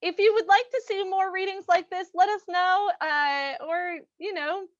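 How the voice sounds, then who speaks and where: A woman host with a very high voice chats casually to a livestream audience. The sound is close and dry but a bit dull and lo-fi. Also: normal speed, no echo. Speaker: host of the reading. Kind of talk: casual livestream thanks and sign-off.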